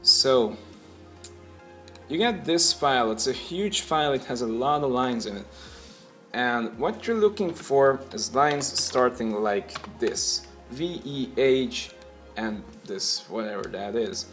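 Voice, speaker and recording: A man narrating a casual software tutorial, explaining things step by step as the host. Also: medium pitch, animated, slow, soft background music.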